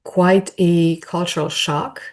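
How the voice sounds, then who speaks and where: A woman's voice: on the low side, fairly even intonation, slow. A woman from Romania with a Romanian accent, an academic guest giving reflective, conversational interview answers and correcting herself as she goes. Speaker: podcast guest, architecture professor.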